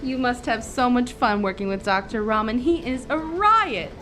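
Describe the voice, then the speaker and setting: Woman playing a high-voiced, gushing academic. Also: animated, moderate pace, a bit bright, a little hiss or hum. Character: gushing, sociable female academic doctor. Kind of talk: audio drama acting.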